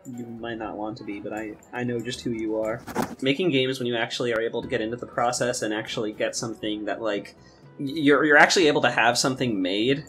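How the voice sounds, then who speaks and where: Halting young man in casual chat. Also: medium pitch, steady tone, a bit bright. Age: young adult. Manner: rambling, halting, repeats words. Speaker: podcast guest and game maker. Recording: music playing under the talk. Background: guitar music.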